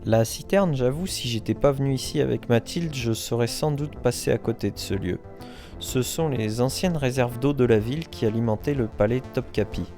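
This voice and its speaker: A man hosting a travel vlog, narrating in a relaxed, wry tone that speaks straight to the viewer. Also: mid-range voice, normal speed, soft background music. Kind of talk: voice-over narration.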